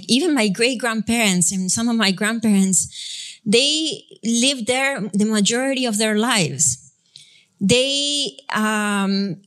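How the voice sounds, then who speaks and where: A woman's voice: fairly high pitch, a bit bright. A young adult woman pastor from Argentina with an Argentine Spanish accent, Spanish her first language, leading prayer and then preaching in a chatty, self-deprecating way with frequent laughs. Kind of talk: sermon with congregational prayer. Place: church sanctuary.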